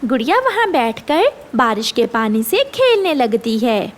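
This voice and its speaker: A woman's voice: a little high, sing-song, a little slow, noisy background. A woman reading scripted narration for a children's cartoon story.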